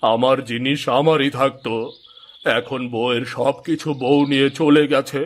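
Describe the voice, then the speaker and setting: An actor voicing a ruined, remorseful village husband in a moral-story cartoon, lamenting melodramatically. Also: male voice, medium pitch, fairly even intonation, normal speed, close to an everyday voice.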